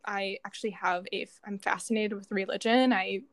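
A woman's voice: mid-range voice, fairly even intonation, normal speed, no echo. A young adult woman co-hosting a podcast in casual, reflective conversation. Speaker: podcast co-host, doctoral student in psychology.

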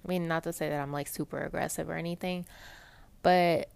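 A young woman talks in a soft, pleasant voice, rambling casually through her week with plenty of fillers. Her tone sits low and carries a gravelly fry. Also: steady tone, brisk. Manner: chatty, rambling, many fillers. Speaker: podcast host. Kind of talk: casual personal monologue.

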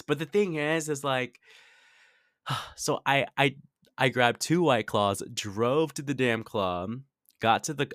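A man's voice: medium pitch, fairly bright tone, no room sound, clean studio sound. A man in his twenties telling personal stories in a casual, confessional, chatty way, very informal, with lots of "like" and some swearing. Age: 20s. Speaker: podcast host.

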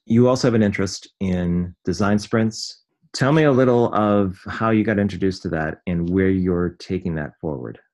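A man's voice: low-pitched, moderate pace, a little mellow. A man hosting a podcast who asks his guest direct questions about his work. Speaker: podcast host. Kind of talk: interview questions.

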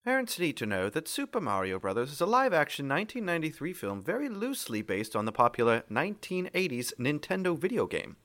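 A man's voice: a little high, huge pitch swings, quick pace, hardly any pauses, fairly bright tone. A man co-hosting a comedy podcast, reading reviews aloud with mock seriousness.

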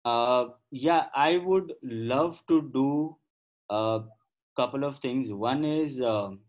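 A man's voice: mid-range voice, slow pace, dull audio. A man with an Indian accent giving thoughtful, hesitant answers as a guest in a casual interview. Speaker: podcast guest.

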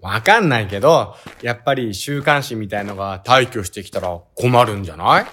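An actor playing a laid-back young monster-spotting enthusiast who explains things matter-of-factly in a comic radio drama. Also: male voice, lowish voice, animated, a little fast, fairly bright tone. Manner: casual, matter-of-fact explaining.

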